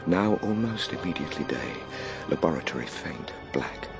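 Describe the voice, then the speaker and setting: A man's voice: rumbling low, expressive, normal speed, creaky, loud music behind the voice, heavily acted. An actor playing an earnest Victorian inventor recounting his travels in precise, formal radio drama style. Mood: awed, urgent.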